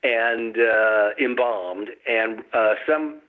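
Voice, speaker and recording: A man's voice: fairly low pitch, very slow, phone-quality sound. A man who is the guest author, telling a story in a casual chat with dry humor and frequent chuckles.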